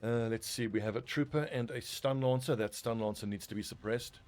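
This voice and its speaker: A man's voice: mid-range voice, brisk, darkish tone, soft background music. A man casually commentating his own strategy game play, thinking aloud and swearing now and then. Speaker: gaming video host.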